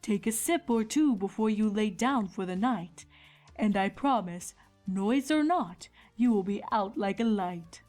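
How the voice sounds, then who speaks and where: A woman voicing a calm, wise female zebra herbalist who speaks in measured rhyme in a cartoon fan fiction audio drama. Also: mid-range voice, close to an everyday voice. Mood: soothing. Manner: measured, speaks in rhyming couplets. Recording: music behind the voice.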